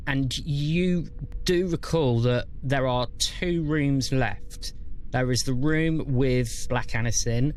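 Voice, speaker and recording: A man running a tabletop role-playing game, narrating scenes and guiding the players. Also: medium pitch. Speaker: game master. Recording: faint background noise.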